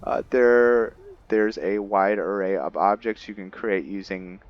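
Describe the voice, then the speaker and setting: Low-voiced, slow-paced man narrating gameplay. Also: lo-fi sound. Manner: halting, thinking aloud. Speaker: gamer narrating a roleplay playthrough. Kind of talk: casual game commentary.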